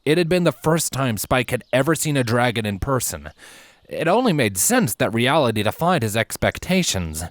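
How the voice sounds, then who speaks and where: A man reading scripted fantasy fan fiction aloud as a narrator, in measured, expressive storytelling. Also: medium pitch, dry recording. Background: background music.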